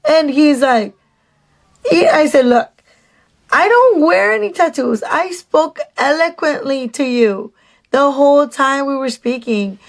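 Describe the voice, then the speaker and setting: Mexican-accented woman vlogger, storytime to camera, raised pitch, unhurried delivery. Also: creaky. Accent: Mexican Spanish. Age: adult. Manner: chatty, animated, many restarts and "like"s; slips into Spanish "dije". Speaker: dating vlogger. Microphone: phone camera.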